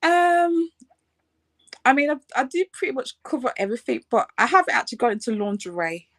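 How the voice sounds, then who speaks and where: A woman's voice: high voice, fairly quick, a bit bright, dry recording. A woman in her thirties with a Birmingham accent, a fashion designer chatting as an interview guest, laughing often and filling her talk with ums and restarts. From England. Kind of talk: casual interview.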